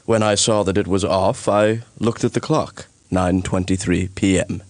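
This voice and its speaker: A man's voice: sits low, fairly even intonation, a little slow, a crowd in the background. A man voicing a self-satisfied wealthy cartoon estate owner, speaking in a smug, precise way. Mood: self-assured. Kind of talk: animated courtroom cartoon voice acting.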